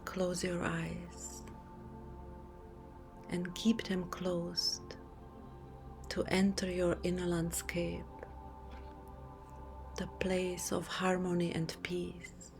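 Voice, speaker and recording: A woman leads a guided relaxation very slowly, in a soft half-whisper. Her delivery is soothing and nearly level in pitch, with long pauses between phrases. Quiet music plays behind her. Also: monotone, gravelly. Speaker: yoga nidra teacher.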